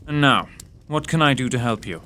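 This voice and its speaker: A man plays an arrogant young detective in an audio drama. His speech is formal, sardonic and precise, with lively swings of pitch over faint background noise. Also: fairly quick. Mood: polite, dry. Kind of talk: audio theatre acting.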